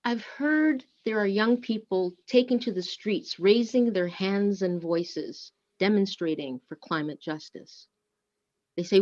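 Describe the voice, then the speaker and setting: A woman reading a prepared script aloud as a service leader, with deliberate, measured delivery. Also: medium pitch. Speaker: worship service co-leader and reader. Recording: dull, lo-fi muffled sound.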